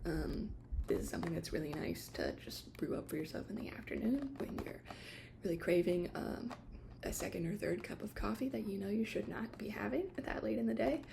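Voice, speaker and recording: A woman doing a whispered ASMR shop roleplay as an attendant, explaining things gently in a hesitant, rambling way. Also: medium pitch, a little fast, vocal fry, background noise.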